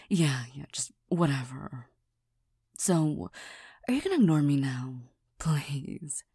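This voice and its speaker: A woman voicing a proud, touchy young girlfriend who hides her tenderness, speaking in a soft half-whisper with stammers and nervous laughs into a close mic. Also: deep voice, big pitch swings, slow, slightly rough, no room sound, full character voice. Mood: shy, hesitant. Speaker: voice actor in a listener roleplay. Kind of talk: whispered girlfriend comfort roleplay.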